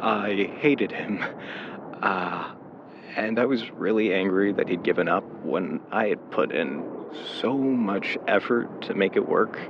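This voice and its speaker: Man acting a slow-talking, caring young boyfriend. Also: low-pitched. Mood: wry, reflective. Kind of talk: naturalistic audio drama acting. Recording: dull sound with vehicle road noise.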